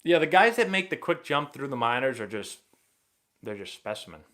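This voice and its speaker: Rambling excitable man hosting a baseball stream. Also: mid-range voice, normal speed. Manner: rambling and excitable, many fillers and restarts. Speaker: host of a live baseball Q&A stream. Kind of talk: casual live-stream chat answering viewer questions.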